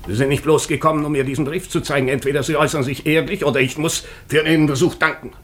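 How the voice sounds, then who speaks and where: An actor playing a stern, passionate doctor in a German radio drama, incisive, sardonic and insistent. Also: male voice, on the high side, steady tone, fast talker, close to an everyday voice. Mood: stern, accusing.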